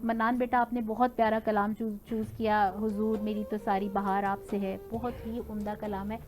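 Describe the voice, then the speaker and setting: A woman's voice: medium pitch, little pitch movement, moderate pace, darkish tone. A woman giving encouraging contest feedback on a TV show, slipping English phrases into her talk. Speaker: judge of a children's religious recitation contest. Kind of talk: spoken judging feedback on a TV show.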